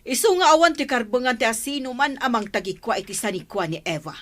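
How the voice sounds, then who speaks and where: An actress playing a stern, protective middle-aged aunt in a radio drama, speaking with authority and an Ilocano accent. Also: female voice, fairly high pitch, animated, quick pace, fairly bright tone, no room sound. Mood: scornful, stern. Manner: firm, authoritative.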